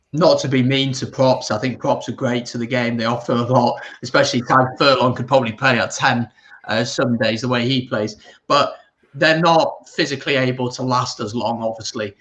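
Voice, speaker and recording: A man's voice: mid-range voice, fairly bright tone. Man giving casual sports analysis. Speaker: guest pundit on a rugby watch-along.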